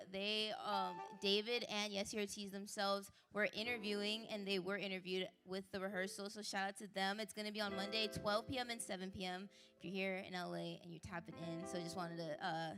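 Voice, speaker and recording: A woman hosting a live concert stage, interviewing a guest and giving shout-outs in a chatty, upbeat way full of fillers and apologies. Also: mid-range voice. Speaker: host. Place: live stage at an indoor market venue. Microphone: handheld stage mic.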